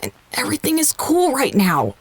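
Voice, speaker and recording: Husky, agitated scientist, swinging pitch. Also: female voice, wildly swooping pitch, moderate pace, full character voice. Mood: tense, musing. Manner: casual, sweary, talks to herself. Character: agitated, defensive woman scientist, swearing and self-doubting. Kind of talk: audio drama acting.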